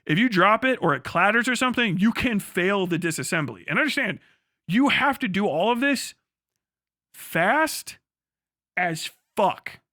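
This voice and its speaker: A man livestreaming in a casual, crude, profanity-laced storytelling style as he explains things in an animated way. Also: high-pitched, unhurried, no room sound, studio quality. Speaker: livestream host.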